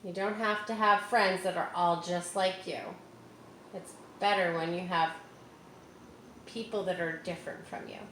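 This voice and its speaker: A woman reads a picture book aloud to children like a teacher, with expressive emphasis and chatty, encouraging asides. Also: on the low side. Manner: expressive, drawn-out emphasis on key words; encouraging, explaining to young listeners. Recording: roomy echo with background noise.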